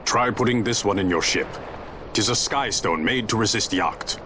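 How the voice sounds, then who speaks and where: An actor playing a gruff, blunt sky pirate fleet leader in a video game, with brusque authority and old-fashioned phrasing. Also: male voice, fairly low pitch, normal speed, background noise, lightly acted. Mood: measured, frank. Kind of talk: dramatic video game cutscene acting. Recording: loud game score behind dialogue.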